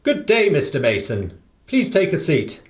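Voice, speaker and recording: A man acts out an affable British gentleman for a radio play, recorded in an echoing room. The voice is fairly high and lilts widely. He talks in a chatty, emphatic way, full of short phrases. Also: wildly swooping pitch, a little slow. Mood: courteous.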